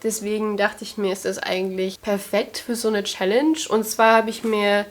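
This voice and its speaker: A woman's voice: mid-range voice, steady tone, normal speed. A woman in her twenties talking casually and directly to her viewers, upbeat and chatty, filmed at home. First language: German. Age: 20s. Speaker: vlogger. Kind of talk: casual vlog to camera. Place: at home indoors.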